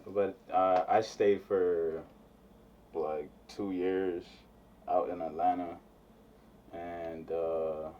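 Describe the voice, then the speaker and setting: A young man raised in Nigeria answering interview questions in a laid-back, halting way with many fillers. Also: deep voice, little pitch movement. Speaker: guest musician. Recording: distant, slightly muffled mic with faint background noise.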